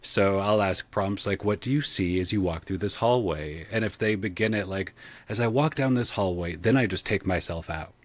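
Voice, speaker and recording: Male podcast guest, low register, informal conversational delivery, very dry sound. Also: normal speed, dry recording. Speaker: podcast guest, game master and sound designer. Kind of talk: casual conversational interview.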